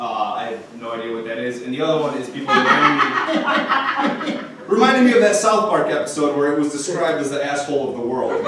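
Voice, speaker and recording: A man from Romania telling a childhood story on stage in a loose, halting way with frequent uh's. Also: mid-range voice, a bit bright. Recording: echoey room mic, far off, faint noise.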